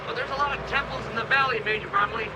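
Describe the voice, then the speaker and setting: Very high-pitched pilot over radio. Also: male voice, rapid-fire. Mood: alert, eager. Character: alert scout pilot reporting by radio from aircraft. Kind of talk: military radio report. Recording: radio transmission with loud aircraft noise.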